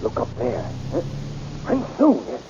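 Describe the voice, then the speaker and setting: A defiant young hero. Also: male voice, medium pitch, wildly swooping pitch, vocal fry, exaggerated voice. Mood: heroic. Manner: brisk, defiant and heroic. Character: brave young male hero. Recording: muffled old radio recording with hiss.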